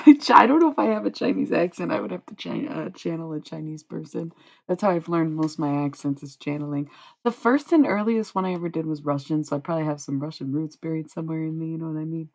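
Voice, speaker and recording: Young woman streamer w/ a low gravelly voice, rambly and dreamy, swears a lot. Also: fast talker, darkish tone. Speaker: livestreamer. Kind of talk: casual livestream chat with viewers.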